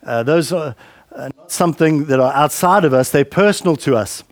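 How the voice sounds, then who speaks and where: A man's voice: fairly high pitch, moderate pace, no room sound. A man preaching a sermon at church, rambling and conversational with many fillers and asides. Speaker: pastor. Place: church hall. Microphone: handheld mic with a weak battery.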